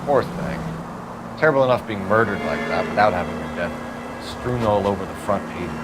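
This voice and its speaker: An actor with an American accent playing a stern, principled young 1940s police detective who speaks in a clipped, authoritative way. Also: male voice, sits low, lively intonation, a little fast, very noisy. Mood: somber. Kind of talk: dramatic game cutscene acting.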